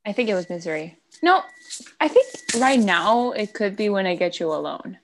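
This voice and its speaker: A woman talks in an easy, unhurried way with her co-host, pausing on fillers as she thinks. Her voice sits at a middle pitch. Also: big pitch swings, a little hiss or hum. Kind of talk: casual fan chat.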